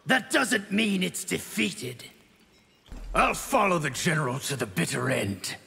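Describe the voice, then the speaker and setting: A man's voice: on the high side, big pitch swings, unhurried, gravelly, pushed and bright, breathy edge, heavily acted. An actor playing a defiant, combative young male soldier in a video game, harsh and proud, in a reverberant space. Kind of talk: video game character acting.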